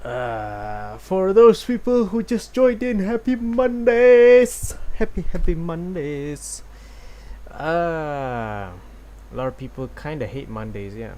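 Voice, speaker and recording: Slow, hesitant male game streamer. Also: on the high side, sing-song, mellow, slight background noise. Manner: hesitant, halting. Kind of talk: casual stream commentary and dialogue read-aloud. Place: live game stream, game music behind.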